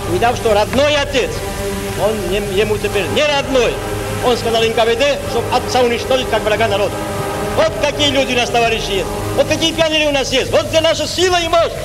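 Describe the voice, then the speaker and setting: An actor playing a fervent political orator in an audio drama, declaiming in a propaganda style. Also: male voice, very high-pitched, quick pace, loud music behind the voice, low-quality recording. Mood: fervent, exultant. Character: fervent shrill political orator praising informers.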